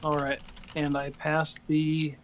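A man's voice: fairly high pitch, completely flat delivery, slow pace, fairly bright tone, highs cut off, a little hiss or hum. A man in casual chat, rambling and hesitant as he shows off toys. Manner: rambling, hesitant, many fillers.

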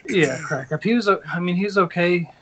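Rambling man, slightly high voice. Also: animated, normal speed, fairly bright tone, muffled recording. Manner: rambling, hesitant, many fillers. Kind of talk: casual group chat showing toys.

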